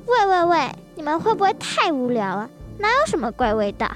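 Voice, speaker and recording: A high, very animated child's voice of a girl acting plays an indignant, outspoken schoolgirl in a radio play, with faint music behind. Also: a little fast. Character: indignant schoolgirl defending her friend.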